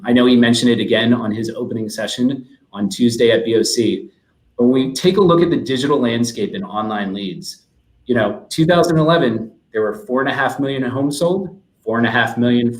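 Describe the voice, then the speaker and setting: A man with a mid-pitched voice gives an explanatory talk as a webinar guest. His manner is businesslike. The recording has a noticeable roomy echo. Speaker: guest speaker. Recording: roomy, echoey sound.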